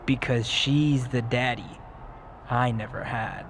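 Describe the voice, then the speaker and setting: A man's voice: medium pitch, fairly even intonation, slow pace, a bit bright, a little hiss or hum. A man playing a smug young villain wrestler in a scripted video game cutscene. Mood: menacing, deliberate.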